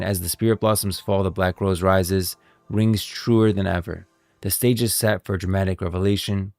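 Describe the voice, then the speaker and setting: Deep, gravelly male game lore streamer. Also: a little mellow, no echo. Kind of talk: casual video game lore commentary.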